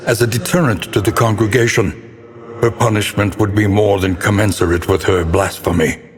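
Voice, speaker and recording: An actor playing a grave, brooding older narrator of gothic horror tales in a video game, with solemn, theatrical and deliberate phrasing. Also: male voice, lowish voice, steady tone, natural voice. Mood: solemn, foreboding. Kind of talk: dramatic scripted storytelling narration. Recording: long reverb, clear background noise.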